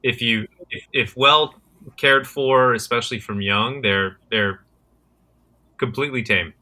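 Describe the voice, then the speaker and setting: A man's voice: mid-range voice, unhurried, bright, forceful tone. An American man answering interview questions as a guest, often repeating words and restarting while he finds his phrasing. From United States. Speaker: guest, criminology researcher and conservationist.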